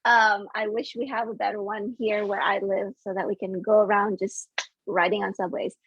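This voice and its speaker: A woman guest whose first language is Indonesian, friendly and laughing often in a relaxed chat over a video call. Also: medium pitch, normal speed, slightly rough, no echo. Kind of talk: casual interview chat. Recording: muffled lo-fi stream audio.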